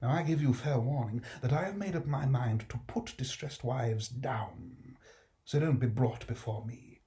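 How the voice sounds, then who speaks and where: Guy doing a pompous alderman, jolly and condescending, warm low tone. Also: measured pace, close to an everyday voice. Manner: patronizing, jovial, chuckling. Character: smug pompous male alderman, condescending magistrate.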